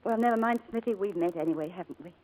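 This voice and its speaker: A woman's voice: mid-range voice, fast, lightly acted. An actress in a 1940s-style radio drama playing a kind, chatty young showgirl, coaxing and protective. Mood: tender, encouraging. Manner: bubbly, coaxing, gently reassuring. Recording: old radio broadcast recording.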